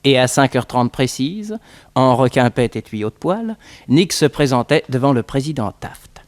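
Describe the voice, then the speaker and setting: An actor serving as storytelling narrator of a 1960s French radio detective serial. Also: male voice, mid-range voice, very animated, measured pace, a little mellow, no echo.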